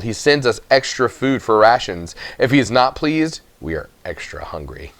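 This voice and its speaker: A man reading a first-person children's story aloud with expressive narration. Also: mid-range voice, no room sound. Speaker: audiobook reader.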